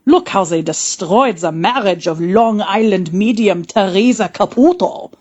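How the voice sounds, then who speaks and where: A woman's voice: medium pitch, measured pace, darkish tone, lo-fi sound, lightly acted. A woman playing a scheming, self-satisfied villainous nurse in a comedic audio drama, with a melodramatic, imperious delivery. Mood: smug, menacing.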